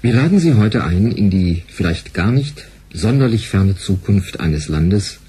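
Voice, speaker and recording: A male announcer reads a scripted radio introduction. His voice is low, dark and very husky, and his delivery is measured and formal.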